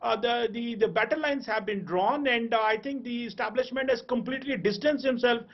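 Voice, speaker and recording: A man with a Pakistani accent giving analysis on a live TV debate, halting and often repeating words. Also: very high voice, dull audio. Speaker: panel analyst.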